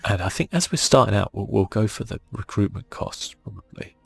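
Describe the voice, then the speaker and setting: A man giving soft-spoken ASMR commentary on a video game in a murmured, laid-back way, as if thinking aloud. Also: fairly low pitch, lively intonation, a little fast, gravelly, husky. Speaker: gaming channel host. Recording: faint music behind.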